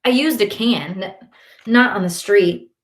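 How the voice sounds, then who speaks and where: A woman's voice: mid-range voice, a little slow, natural voice. A woman voicing an awkward, earnest schoolboy who protests defensively, in an audiobook. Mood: awkward, polite.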